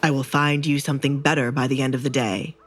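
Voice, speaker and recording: Woman putting on a low, stern young duke. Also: steady tone, fast, a little hiss or hum. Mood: matter-of-fact.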